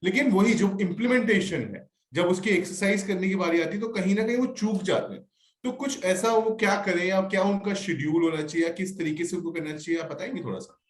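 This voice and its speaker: Male Q&A host, fairly high voice, polite and courteous, echoey room. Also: brisk. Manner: polite, relays audience questions. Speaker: session host. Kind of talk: moderating a Q&A session.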